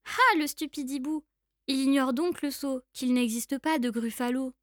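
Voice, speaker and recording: A woman's voice: high voice, normal speed, dry recording, clean studio sound, lightly acted. A woman playing a small, clever female mouse in a children's story, cheeky and singsong. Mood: mocking.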